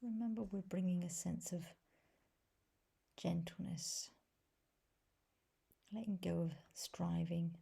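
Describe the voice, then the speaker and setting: A woman leading a guided meditation as a teacher, speaking softly and gently in a breathy voice with long pauses between phrases. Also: fairly low pitch, fairly even intonation. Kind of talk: guided body scan meditation.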